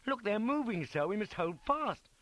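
A male reader plays a British cavalry officer at his wits' end. The voice is high, forceful and shouting, urgent and insistent, in dramatic storybook style. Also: sing-song, moderate pace, no echo. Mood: frantic, pleading. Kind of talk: acted character in an audiobook.

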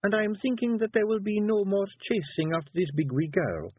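A man voicing a young Scottish fairy wife, high-pitched and slow, sweet on the surface with steel underneath. The voice is husky, and the audio sounds tinny and dry. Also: expressive, dry recording. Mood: stern sweet. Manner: dangerously sweet, measured.

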